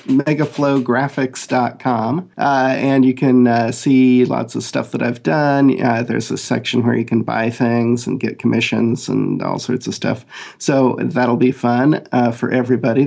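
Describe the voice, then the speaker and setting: A man's voice: medium pitch, moderate pace, gravelly, darkish tone. A man who works as a freelance artist, speaking as a podcast guest in a wry, joking way. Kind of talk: podcast panel chat.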